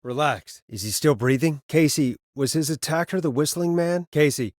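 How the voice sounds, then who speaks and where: A man playing a steady, weary radio host who guides callers through an emergency in a video game, speaking in an earnest, conversational, slightly hesitant way. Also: fairly high pitch, moderate pace, no echo, studio quality, lightly acted. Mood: urgent, tense. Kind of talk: naturalistic video game voice acting.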